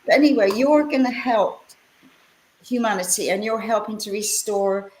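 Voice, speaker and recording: A woman's voice: mid-range voice, moderate pace, darkish tone. A woman hosts in an informal, chatty way, wandering through her introduction and handing out compliments as she goes. Her voice has a roomy echo around it. She sounds like a radio presenter easing a guest into an interview. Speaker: radio show host. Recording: roomy, echoing voice pickup.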